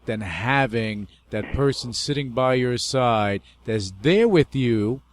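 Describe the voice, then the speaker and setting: A man's voice: medium pitch, expressive, takes it slowly, a little hiss or hum. Effusive male radio host. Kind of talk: casual interview chat.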